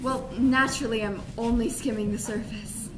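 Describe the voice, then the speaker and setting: A woman's voice: a little high, fairly even intonation, moderate pace, lightly acted. A woman acting an earnest, pompous theatregoer in an amateur stage comedy. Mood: earnest, pretentious. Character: earnest, pretentious theatregoer gushing self-contradictory praise. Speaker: student actor in a school play. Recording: echoey stage recording with faint noise.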